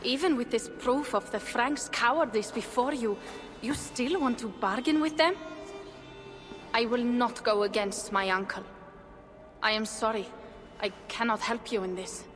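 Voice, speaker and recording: A woman's voice: a little high, lightly acted. A woman playing a solemn Viking warrior woman. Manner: earnest, solemn, slow. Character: loyal young Viking warrior woman, grave and proud. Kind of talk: video game cutscene. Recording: game audio with reverb and music.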